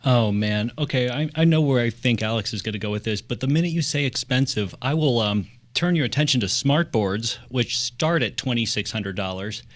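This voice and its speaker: Mid-pitched man, casual panel talk. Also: animated, normal speed, hardly any pauses. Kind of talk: casual, playful recommendation.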